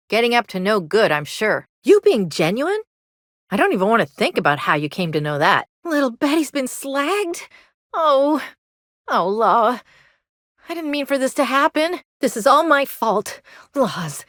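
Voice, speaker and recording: An actress playing an anxious, earnest mechanic in a video game, with a folksy Southern US drawl and an apologetic manner. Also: female voice, fairly high pitch, big pitch swings, moderate pace, fairly bright tone, no echo, studio quality. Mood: worried, polite, then distraught. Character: anxious, earnest young colony mechanic woman fond of her robot.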